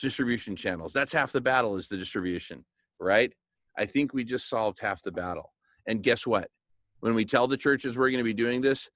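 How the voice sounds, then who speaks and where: A man with a mid-pitched voice gives an informal, motivational planning talk. He is enthusiastic and emphatic, with a joking streak and a habit of seeking agreement. The sound is close and dry. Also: a bit bright, no echo. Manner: jokey, chuckles at his own remarks. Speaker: organizer of a food charity project.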